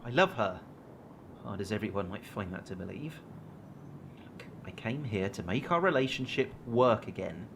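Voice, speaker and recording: Radio drama: man playing evasive, defensive British male, articulate but faltering, sarcastic, animated intonation, slight background noise. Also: fairly low pitch, vocal fry. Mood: indignant, defensive. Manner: halting, defensive, well-spoken sarcasm. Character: defensive male partner in denial, prickly and evasive. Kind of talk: naturalistic radio drama acting.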